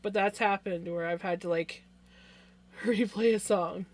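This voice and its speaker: A woman with a naturally loud voice hosting a livestream, talking casually in a chatty, self-deprecating way. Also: mid-range voice, fairly even intonation, measured pace. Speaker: live stream host.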